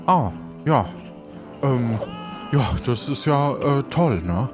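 A man's voice: mid-range voice, lively intonation, slow pace, music in the background. A man playing an awkward, evasive pirate crewman who stammers and trails off in an improvised audio adventure. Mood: awkward, hesitant.

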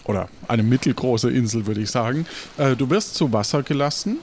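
A man's voice: medium pitch, fairly quick, a little mellow, a little hiss or hum. A man improvising narration as game master of a tabletop roleplaying game.

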